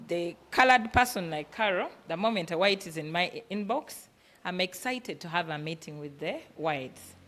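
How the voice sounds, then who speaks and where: A woman's voice: lowish voice, fairly bright tone. A woman with a Ugandan accent speaking as a business workshop presenter, emphatic and instructive, often posing rhetorical questions. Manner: emphatic, asking rhetorical questions and answering them. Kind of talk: motivational business talk.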